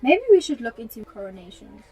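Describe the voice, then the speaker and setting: A woman's voice: on the high side, big pitch swings, fairly quick, mellow, husky, faint music behind, low-quality recording. A woman acting an inquiring, matter-of-fact police detective in a radio drama.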